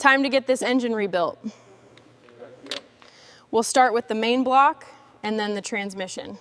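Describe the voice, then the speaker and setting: A woman with a somewhat high-pitched voice reads scripted narration. She presents mechanical restoration work in short, simple statements. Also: a little slow, slight background noise.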